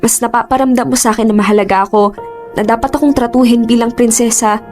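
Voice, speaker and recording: A woman's voice: fairly high pitch, fairly even intonation, fast, a little mellow, soft background music, natural voice. A woman with a Filipino accent acting a torn, defensive young office worker in a radio drama. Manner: defensive, emotional.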